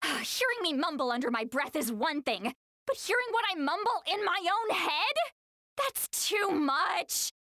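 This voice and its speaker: Very high, flustered girlish game character. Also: very animated, unhurried, sharp tone, no echo, clean studio sound, heavily acted. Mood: exasperated. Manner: defensive, stammering, dramatic. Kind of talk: video game character acting.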